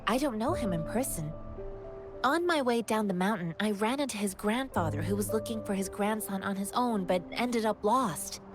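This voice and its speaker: Earnest, kind young wanderer voiced by an actress, music behind. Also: female voice, medium pitch, animated, moderate pace, natural voice. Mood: earnest, polite. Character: earnest kind-hearted young woman martial-arts wanderer. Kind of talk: video game voice acting.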